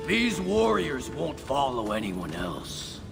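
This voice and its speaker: A proud, defiant warlord holds forth in a video game cutscene. His gravelly voice swings widely in pitch as he commands with pompous weight, over loud music. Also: wildly swooping pitch, takes it slowly, exaggerated voice. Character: proud, defiant samurai warlord claiming a throne. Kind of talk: dramatic game cutscene acting.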